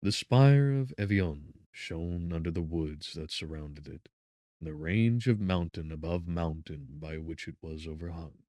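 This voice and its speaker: A man narrating a gothic audiobook in a measured, solemn, theatrical way. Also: deep voice, darkish tone, no echo, studio quality. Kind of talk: dramatic scripted narration of a gothic novel.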